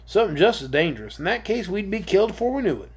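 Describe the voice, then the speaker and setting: An old sailor man from a storybook, played by a reader. He talks in a folksy, deliberate way with a slightly husky edge. He is kindly but cautious and a bit doleful. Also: mid-range voice, sing-song, a little mellow. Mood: worried. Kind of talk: acted storybook character.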